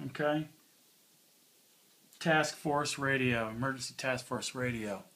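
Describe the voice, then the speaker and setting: Bright-voiced man doing a casual gear review. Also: mid-range voice, takes it slowly. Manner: informal, offhand. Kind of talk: casual hands-on product review.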